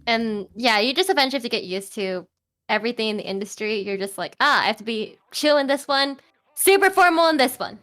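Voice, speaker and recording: A young woman with a high-pitched, loud voice, chatting casually as an interview guest, with many fillers and restarts. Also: animated, fairly quick. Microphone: home streaming mic with EQ and compressor.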